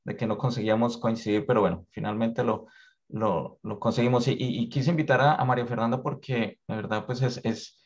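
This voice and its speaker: Colombian guy interviewing someone casually, repeats his words a lot, mid pitch, dull recording. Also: fairly even intonation. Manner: halting, repeats words often. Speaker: interviewer, exam preparation tutor. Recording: dull, lo-fi audio.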